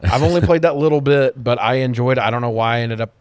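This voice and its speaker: A man co-hosting a podcast, chatting casually about films with animated, joking reactions and free swearing. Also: mid-range voice, fast talker, smooth, dry recording. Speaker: podcast co-host. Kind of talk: casual film review chat.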